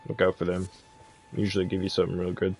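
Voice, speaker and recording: A man's voice: low-pitched, monotone, fast talker, darkish tone, a little hiss or hum, low-quality recording. A man giving a casual, easygoing game walkthrough as a streamer. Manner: laid-back, easygoing. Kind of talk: casual game guide commentary.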